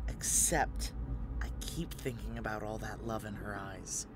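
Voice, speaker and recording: A woman's voice: a bass voice, unhurried, noisy background. An actress playing a tough, guarded, suspicious woman on the run in a noir audio drama, speaking in clipped, hard-boiled phrases. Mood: grim, tense. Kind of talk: noir audio drama acting with first-person narration.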